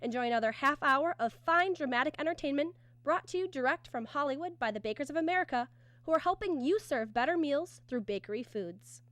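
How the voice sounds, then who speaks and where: A woman's voice: high voice, lively intonation, a little fast, fairly bright tone, no room sound. A woman announcer reading scripted credits in a 1950s radio style.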